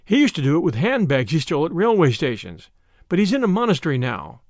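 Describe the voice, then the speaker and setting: A man's voice: fairly high pitch, very animated, fast, darkish tone, no room sound, studio quality. A man voicing a small, mild, shrewd country priest in a storybook, speaking simply with a timid, apologetic manner. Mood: unaffected, rueful.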